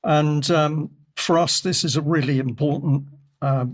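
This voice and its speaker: Croaky, husky studio commentator, measured delivery, fillers. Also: male voice, on the high side, fairly even intonation. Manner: measured, deliberate, many fillers. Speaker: regular commentator. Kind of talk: discussion commentary reading from articles.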